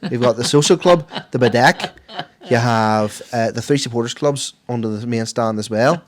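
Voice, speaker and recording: A hoarse man with a Northern Irish accent who co-hosts a podcast and gives the sport round-up with animated, excitable enthusiasm. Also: mid-range voice, moderate pace. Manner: enthusiastic, animated, laughs easily. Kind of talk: casual podcast chat.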